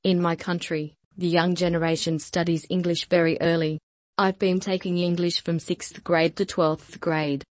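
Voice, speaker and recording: A woman with a somewhat low voice reads lesson sentences with slow, even care, repeating each one for learners. The sound is close and dry, with no room around it. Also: no echo. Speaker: language lesson reader. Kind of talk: scripted repeat-after-me drill.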